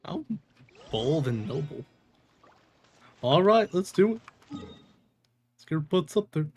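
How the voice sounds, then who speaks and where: A man's voice: on the high side, very animated, slow, darkish tone, slight background noise. A man giving casual, chatty gameplay commentary as a streamer, reacting aloud to the game. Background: game audio and music.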